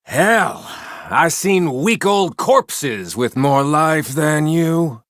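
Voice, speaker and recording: An actor playing a mean Old West outlaw in a video game, mocking and insulting his gang with drawn-out taunts. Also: on the high side, lively intonation, fairly bright tone, no echo, clean studio sound, exaggerated voice. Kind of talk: video game character barks.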